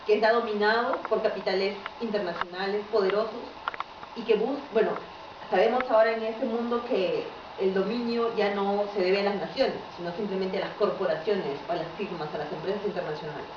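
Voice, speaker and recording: A woman's voice: mid-range voice, steady tone, brisk, far from the mic. Hesitant woman from Peru in an echoey room. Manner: hesitant, hedging, fillers like "¿no?" and "este". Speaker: audience member asking a question. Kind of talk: audience question at a lecture. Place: lecture room at a university. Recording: dull, echoey room audio from afar, with clear noise.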